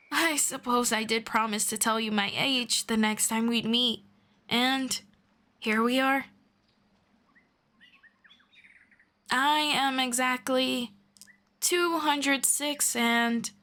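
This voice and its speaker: Woman voicing a soft-spoken ageless elf mentor. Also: fairly high pitch. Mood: playful. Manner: soft-spoken, slow and patient, drifting into whispers. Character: gentle ageless female elf mentor, patient and kind. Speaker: voice actor. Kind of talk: ASMR roleplay.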